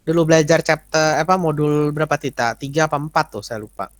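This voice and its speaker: A man's voice: fairly high pitch, fast, dry recording. A man, lecturing informally and often checking that listeners follow with short tags. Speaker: accounting lecturer. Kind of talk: informal explanatory teaching with question and answer.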